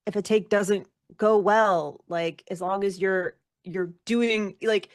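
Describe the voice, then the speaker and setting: A woman's voice: mid-range voice, fairly even intonation, slow, dry recording, clean studio sound. A woman giving casual, reflective interview answers as a guest, candid and thinking aloud with frequent self-corrections.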